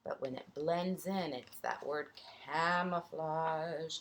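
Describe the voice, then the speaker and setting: A woman's voice: sits low, takes it slowly, a little hiss or hum. A woman teacher reading a storybook aloud to young children, expressively, with little explaining asides.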